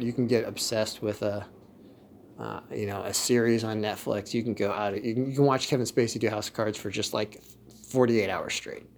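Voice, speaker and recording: A man's voice: mid-range voice, a little mellow, slight background noise. A man ranting in a loose, joking way, laughing now and then and restarting his sentences. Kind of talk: casual rant.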